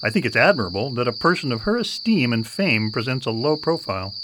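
A man playing an earnest, formally polite Victorian gentleman in a radio drama. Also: mid-range voice, sing-song, fast, close to an everyday voice. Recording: noisy night ambience with crickets.

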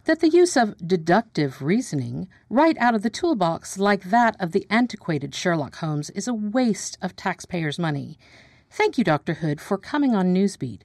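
An actress playing a brisk TV news presenter in a radio drama, probing and polished. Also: female voice, mid-range voice, sing-song, a little mellow. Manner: brisk, probing broadcaster delivery. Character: slick female TV news presenter.

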